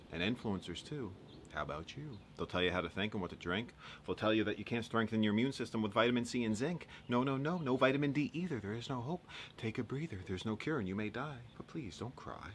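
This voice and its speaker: A man performing a rhyming, satirical spoken-word monologue in a sardonic, emphatic, theatrical way with dramatic pauses. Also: lowish voice, expressive, slight background noise. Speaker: monologue poet.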